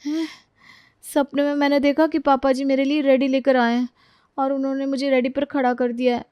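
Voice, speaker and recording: A woman's voice: high voice, flat delivery, moderate pace, a little mellow, no room sound, pitched above the performer's own voice, natural voice. A woman voicing an overworked, exasperated young daughter-in-law in a storybook, complaining in a whiny, dramatic way. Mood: bewildered. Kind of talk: acted Hindi audio storybook dialogue.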